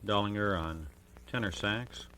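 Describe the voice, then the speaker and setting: A man working as a radio DJ, introducing jazz records in a measured, formal announcing style with careful enunciation of names and dates. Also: on the low side, sharp tone, slight background noise. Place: radio studio. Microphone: broadcast studio microphone.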